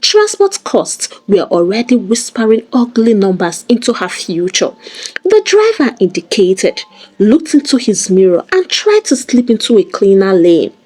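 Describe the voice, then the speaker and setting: A woman narrating a dramatic scripted story in an expressive, deliberate storytelling manner. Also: mid-range voice, slightly rough, rough recording.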